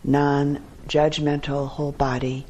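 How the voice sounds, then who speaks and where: A woman teaching guided meditation in a calm, measured way, with short phrases and long pauses between them. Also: deep voice.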